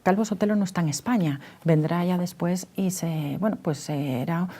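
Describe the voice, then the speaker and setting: A woman's voice: lowish voice, moderate pace, dark tone. A woman from Spain with a Spanish accent speaks as a history expert in an interview, explaining discursively and thinking aloud.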